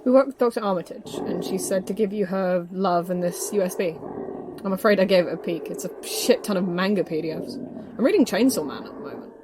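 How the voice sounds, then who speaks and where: A woman's voice: medium pitch, brisk, background noise, natural voice. Earnest, precise teenage cadet played by a woman. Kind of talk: audio drama acting.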